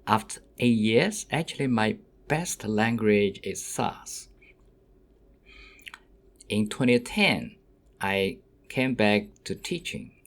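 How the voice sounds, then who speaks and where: A middle-aged man who is not a native English speaker, lecturing to students in halting, simple phrases and often checking with an okay. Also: fairly low pitch, animated. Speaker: university lecturer.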